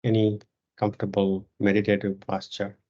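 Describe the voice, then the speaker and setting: A yoga teacher guiding a meditation in a calm, measured way, pausing between short phrases, on a dull, muffled recording. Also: sits low. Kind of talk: guided meditation instruction.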